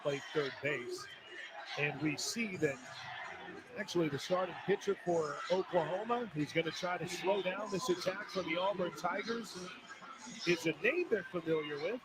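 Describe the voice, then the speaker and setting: A man's voice: high-pitched, normal speed, heavy background noise. A man calling the game as a play-by-play announcer, upbeat and polished, reading out statistics. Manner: upbeat, stat-heavy, polished. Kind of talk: broadcast sports commentary.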